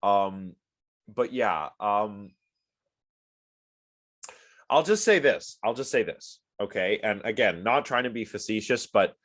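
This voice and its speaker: A man's voice: on the low side, animated, slow, long pauses, a bit bright. A man giving a casual online lecture on a video call and reacting to the chat. He is sniffly from allergies and rambles with lots of fillers and restarts.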